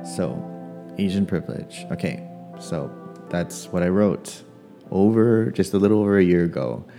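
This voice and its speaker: A man from Hawaii, a playwright musing casually about his work and family, pausing often with ums. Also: low-pitched, animated, dark tone, soft background music. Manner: hesitant, many ums and pauses. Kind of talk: casual personal reflection.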